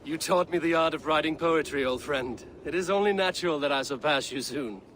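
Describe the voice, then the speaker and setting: An actor voicing a steady male Viking raider hero with a measured, dry-witted delivery in a video game cutscene. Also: a little high, slight background noise, close to an everyday voice. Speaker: game protagonist.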